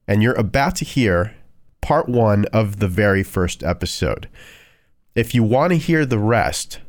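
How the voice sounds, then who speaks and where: A man's voice: fairly low pitch, sing-song, a little slow, no room sound, studio quality. A man narrating a scripted true-crime horror podcast as its host. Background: music.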